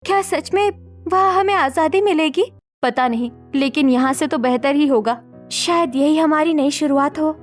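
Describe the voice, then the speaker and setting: A woman's voice: high voice, moderate pace, faint music behind, natural voice. A woman voicing a yearning young sister and new bride in a cartoon story, earnest and plaintive. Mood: anxious, hopeful.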